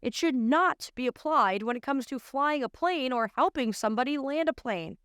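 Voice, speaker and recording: A woman's voice: sits high, animated, normal speed, fairly bright tone, smooth, dry recording. An American woman in her twenties giving emphatic, incredulous opinion commentary to camera, close on a studio microphone. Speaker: commentator and show host. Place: small indoor studio. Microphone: close studio microphone on an arm.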